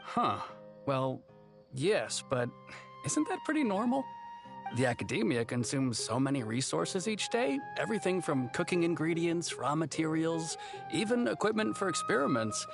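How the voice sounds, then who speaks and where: Brief: a casual clerk with a gravelly edge, loud music under. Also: male voice, fairly high pitch, very animated, moderate pace. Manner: casual, shrugging. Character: easygoing academy clerk, unbothered. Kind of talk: game voice acting. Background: loud game music.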